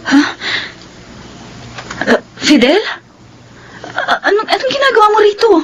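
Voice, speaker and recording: A woman's voice: very high voice, big pitch swings, unhurried, exaggerated voice. A woman with a Filipino accent acting an emotional, shy young bride-to-be in a romantic drama, with a hesitant, pouting manner. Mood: shocked, tearful. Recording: music bed under dialogue.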